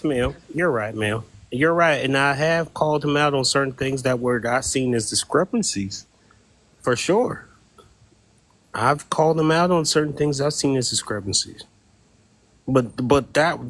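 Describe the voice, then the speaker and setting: A man's voice: mid-range voice, no echo. A man in the moderator's seat, stumbling over his words and repeating himself as he politely defers to callers. His voice sits in an ordinary middle register and sounds dry and close, with no room around it. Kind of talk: live-stream call-in discussion.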